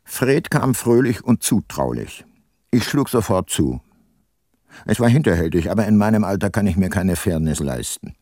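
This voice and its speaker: Aging private eye, low voice, very varied intonation, terse, dry, cunning, radio play. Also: male voice, expressive, normal speed, warm tone. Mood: dry narration. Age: older. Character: tough, cunning aging male private detective, hard-nosed. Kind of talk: radio drama narration and dialogue.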